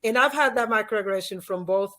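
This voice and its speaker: A woman with a Dominican accent, a native Spanish speaker, talks in a medium-pitched voice. She explains things conversationally and emphatically, like an expert guest in an interview. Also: fairly quick, sharp tone. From Dominican Republic. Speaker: podcast guest, leadership expert and former teacher.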